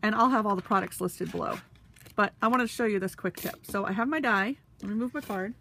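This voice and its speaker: A woman's voice: mid-range voice, a little fast, creaky, bright, forceful tone, a little hiss or hum. A woman with a stuffy, sniffly nose, chatting casually with lots of fillers while she demonstrates a craft tool. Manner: talks while handling tools. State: congested, sniffly.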